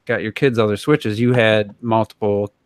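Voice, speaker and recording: Guy rambling on a gaming podcast, smooth clear voice, dry close sound. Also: on the low side, fairly even intonation, normal speed, dry recording. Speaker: podcast co-host. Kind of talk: casual gaming podcast discussion.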